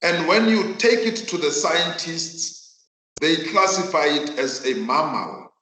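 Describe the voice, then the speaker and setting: A South African man whose mother tongue is Northern Sotho, teaching a virtual class in an emphatic, deliberate way and repeating phrases for effect. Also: on the high side, animated, sharp tone. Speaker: accounting teacher. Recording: echoey room.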